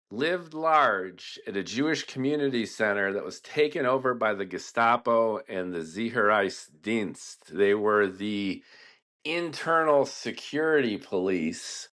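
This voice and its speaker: Slow, bright-toned male storyteller. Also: lowish voice. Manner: slow, deliberate, pausing between phrases. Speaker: podcast host and storyteller. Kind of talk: reflective first-person storytelling.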